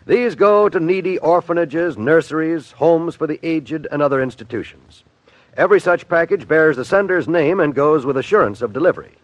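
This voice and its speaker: A man's voice: on the high side, expressive, moderate pace, no room sound. A man announcing a scripted public service appeal in earnest, formal 1950s radio style, on a dry, dull vintage recording.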